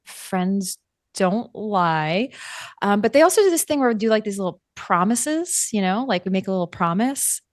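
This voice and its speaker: A woman playing a caring, protective friend in a tabletop roleplay, speaking gently and haltingly with careful wording. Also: medium pitch, animated, dry recording, studio quality, lightly acted. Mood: coaxing, protective.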